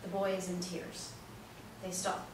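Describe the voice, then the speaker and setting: A woman's voice: lowish voice, completely flat delivery, unhurried. Very echoey, distant woman narrator. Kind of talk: scripted stage-direction narration. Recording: very echoey, distant, noisy room recording.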